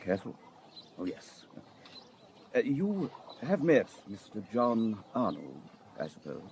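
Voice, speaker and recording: Radio drama role: knowing, cordial male inspector, dark mellow tone, faint noise behind. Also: fairly low pitch, wildly swooping pitch, slow pace, exaggerated voice. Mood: knowing, discreet. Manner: courteous, hesitant, knowing. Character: cordial, worldly male chief inspector sharing gossip discreetly. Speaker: police inspector.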